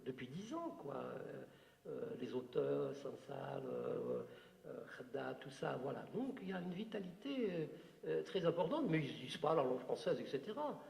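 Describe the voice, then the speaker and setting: Gravelly man lecturing in an echoing hall. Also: on the high side, very animated, moderate pace, a little mellow. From Algeria. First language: Algerian Arabic. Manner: digressive, emphatic, many filler "hein" and "euh". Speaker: author giving a talk. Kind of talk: unscripted lecture answering a question. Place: hall with audience. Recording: roomy hall with long reverb.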